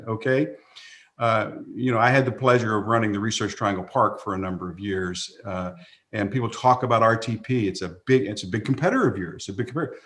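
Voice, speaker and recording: A man's voice: fairly low pitch, a bit bright. A man speaking as a panelist on a video call, folksy and rambling, with many restarts.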